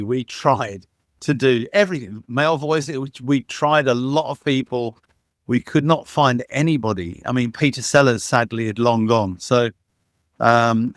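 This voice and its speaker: A man of middling pitch talks as a guest in an interview, rambling through his stories with plenty of false starts and fillers. He sounds eager and enthusiastic, and his voice comes across close and dry, with no room sound. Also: no echo. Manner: rambling, enthusiastic storytelling with many restarts and fillers. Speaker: guest, sound engineer and dubbing supervisor. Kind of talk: casual interview conversation.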